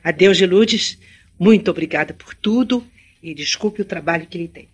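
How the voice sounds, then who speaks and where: A woman's voice: lowish voice, moderate pace, slightly rough. Radio drama: an articulate young city woman, frank and kind, formal diction. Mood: polite, grateful. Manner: formal, carefully enunciated speech. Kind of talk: Brazilian radio drama acting.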